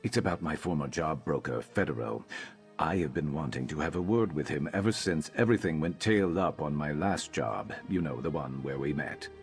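An actor playing a guarded, brooding beast-folk mercenary in a fantasy video game, terse and deadpan with a flat, robotic delivery. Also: male voice, extremely low pitch, moderate pace. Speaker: game companion character. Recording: game music behind.

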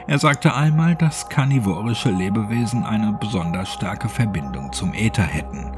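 A man narrating a scripted horror audiobook in a measured, documentary-like storytelling manner. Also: on the low side, expressive. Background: background music.

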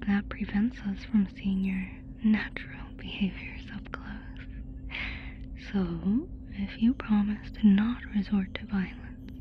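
A woman playing a curious, doting alien fascinated by humans in an ASMR roleplay, whispering softly and breathily in a casual, affectionate way. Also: medium pitch, fairly even intonation, slow pace, highs cut off, natural voice. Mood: coaxing.

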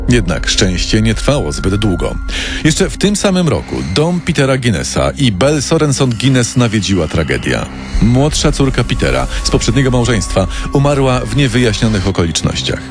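A man's voice: on the low side, big pitch swings, moderate pace. A man narrating a scripted true-crime story with music behind him.

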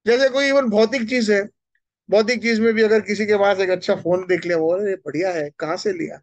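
A middle-aged man from giving an animated devotional lecture in a temple hall, speaking into a stand microphone and asking his listeners questions. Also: high-pitched, fairly quick. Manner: animated, gesturing, asks the audience questions. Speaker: spiritual speaker. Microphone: stand mic close to mouth.

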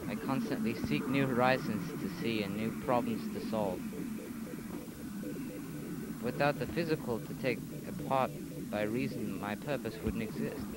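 A man's voice: medium pitch, natural voice. A man playing an earnest, searching journeyman philosopher, delivering reflective monologue in an amateur audio drama. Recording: loud background noise.

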